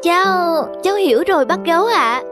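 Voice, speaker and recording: A woman's voice: very high voice, expressive, a little fast, over music. A woman voicing a perky, argumentative young cartoon goat. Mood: contrite. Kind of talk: cartoon voice acting.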